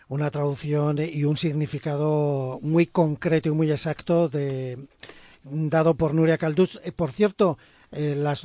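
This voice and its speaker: A man's voice: fairly high pitch, warm tone, no echo. A man interviewing a guest on the radio, asking his questions in a polite, formal way.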